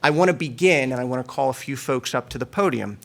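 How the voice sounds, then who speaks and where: A man's voice: mid-range voice, fast talker, creaky. Formal man giving a press conference speech. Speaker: trade association leader hosting a press conference.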